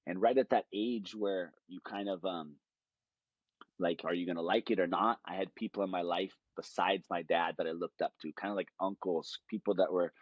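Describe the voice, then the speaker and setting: A man's voice: sits low, fairly even intonation, moderate pace, dry recording, muffled recording. A man, telling stories in a rambling, easygoing way as a podcast guest. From Hawaii. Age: late 20s. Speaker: guest, jiu-jitsu fighter. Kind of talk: casual podcast conversation.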